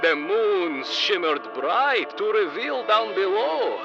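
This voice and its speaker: A doting creature father in a comedic audio play, reciting with theatrical flair. His voice is high and swoops widely. The sound is slightly dull. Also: very animated, vocal fry, full character voice. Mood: playful storytelling. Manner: theatrical storytelling, halting. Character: doting alien creature papa reciting a holiday poem. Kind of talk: comedic audio drama acting. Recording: dull muffled sound under music.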